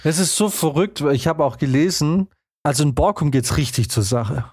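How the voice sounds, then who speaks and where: Slightly high male voice, casual and dry. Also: expressive, normal speed, darkish tone, breathy edge, no echo. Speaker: podcast co-host. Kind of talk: casual chat.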